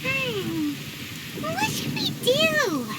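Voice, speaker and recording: A woman voicing a small, outspoken childlike video game character with a squeaky, earnest delivery. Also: huge pitch swings, drawn-out words, heavy background noise, heavily acted. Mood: chiding, pondering. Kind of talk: animated video game voice acting.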